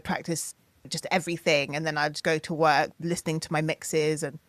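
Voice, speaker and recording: Woman in her 30s chatting on a podcast, low voice, gets animated doing other people's lines, dry close mic. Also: normal speed, no room sound. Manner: animated storytelling, acting out quotes in a raised voice. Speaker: singer, songwriter, DJ and producer. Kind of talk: podcast interview.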